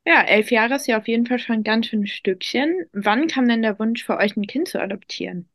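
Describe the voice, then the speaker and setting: A woman's voice: fairly high pitch, fairly quick, fairly bright tone, no echo, studio quality. A young woman working as a youth reporter, asking upbeat, friendly interview questions. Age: young adult.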